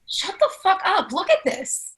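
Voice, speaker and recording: A woman's voice: very high voice, wildly swooping pitch, a little slow, fairly bright tone, full character voice. A woman playing a brash, intense, foul-mouthed twenty-seven-year-old in a play reading. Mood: excited. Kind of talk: readers theatre play reading.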